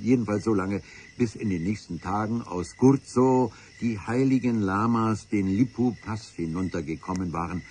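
A man's voice: fairly low pitch, a little mellow, slight background noise, lightly acted. A man playing a grave adventurer narrating his memoir in a radio play, in a literary, mock-solemn manner.

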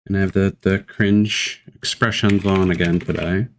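A man chatting casually as a livestreamer, muttering low-key asides and commentary into a desk microphone. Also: steady tone, normal speed, a little hiss or hum.

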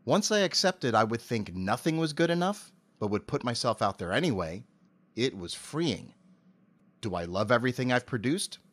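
A man's voice: lowish voice, a bit bright. Articulate man giving interview answers. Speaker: interview guest, amateur voice actor and animator.

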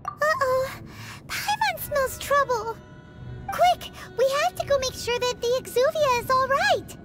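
A tiny floating fairy girl from a video game, extremely high and squeaky. She is chirpy, greedy and excitable, swinging widely in pitch, over prominent music. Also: measured pace. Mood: suspicious, alarmed. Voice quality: squeaky, childlike. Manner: excitable, refers to self in third person. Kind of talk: video game character acting.

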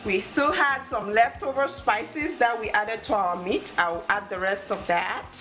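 A woman's voice: mid-range voice, unhurried, poor audio. A woman hosting a cooking show, giving step-by-step instructions in short phrases. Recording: music under the voice.

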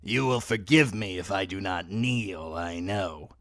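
A man's voice: low-pitched, unhurried, a bit bright, dry recording, studio quality. A man voicing a crude, sly, very old lord who mocks his guests in a wheezy voice. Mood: mocking.

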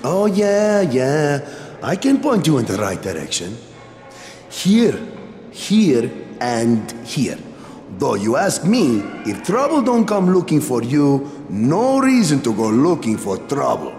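An actor playing a wary but easygoing survivor-camp quartermaster in a video game, with a folksy manner. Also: male voice, mid-range voice, huge pitch swings, takes it slowly, darkish tone, heavily acted. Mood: wary, helpful. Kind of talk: video game cutscene acting. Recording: echoey hall, faint music.

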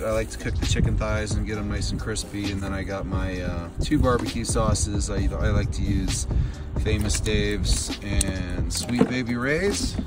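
A man in his forties talking casually and matter-of-factly to a handheld camera outdoors, explaining things in a camping vlog. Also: on the low side. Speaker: outdoor vlogger. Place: outdoors at a snowy campsite.

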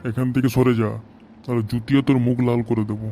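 A man's voice: mid-range voice, flat delivery, fast, dark tone, soft background music, close to an everyday voice. A man voicing a hot-tempered, foul-mouthed village father in an amateur comedy cartoon, grumbling and scolding in a West Bengal accent. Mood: angry scolding. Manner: grumbling, scolding, heavy cursing.

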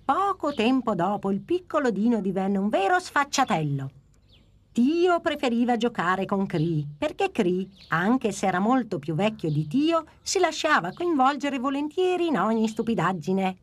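Gentle woman narrating a cartoon. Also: a little high, big pitch swings, normal speed.

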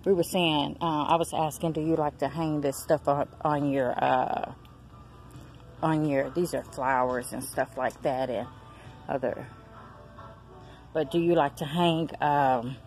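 A woman, filming a casual shopping vlog on a handheld phone, chatting excitedly to her viewers. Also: sits low, measured pace, soft background music. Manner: chatty, excited exclamations, addresses viewers as y'all and girl. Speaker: vlogger. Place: large discount store aisles, indoors. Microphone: handheld phone camera.

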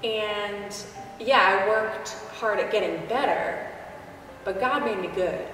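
A woman's voice: medium pitch, slow pace, fairly bright tone, a little hiss or hum. A woman in her thirties, an opera singer, talking thoughtfully and candidly about her work in a church sanctuary, filmed from a distance. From Boston. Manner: thoughtful, candid, self-deprecating. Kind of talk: reflective interview. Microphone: camera at a distance. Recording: echoey church heard from a distance.

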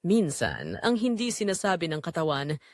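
A woman reading scripted dramatic story narration in short, measured, suspenseful phrases. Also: lowish voice, huge pitch swings, no echo, clean studio sound.

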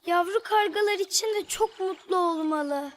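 A woman's voice: very high-pitched, moderate pace, no echo. A woman voicing a cheerful, curious little girl in a children's cartoon, talking expressively to her animal friends. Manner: expressive, talks aloud to her pets.

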